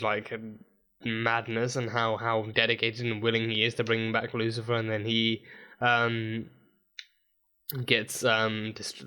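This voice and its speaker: A man with a dry, croaky throat recapping a TV episode in a rambling, casual way, full of fillers and restarts. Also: medium pitch, sharp tone. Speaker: TV episode reviewer. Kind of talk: casual reaction video recap.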